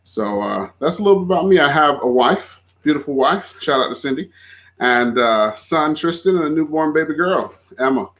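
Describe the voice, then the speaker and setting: A man's voice: on the high side, expressive, normal speed, a bit bright. A man in his thirties from Florida, a podcast guest talking in an easygoing, chatty way. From Florida, USA. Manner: easygoing, chatty, laughs easily. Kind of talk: casual conversation.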